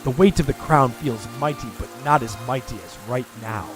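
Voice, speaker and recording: A man's voice: medium pitch, normal speed, music in the background, lightly acted. An actor playing a worried, noble king in a fantasy audio drama, speaking in formal archaic phrases. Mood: earnest, burdened.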